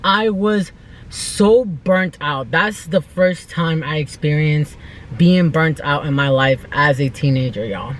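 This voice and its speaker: A woman's voice: sits low, slow, slight background noise. A woman in her twenties from the United States talking casually and earnestly in an unscripted vlog, with informal, emphatic phrasing. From United States, born in El Salvador. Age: 20s. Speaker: vlogger.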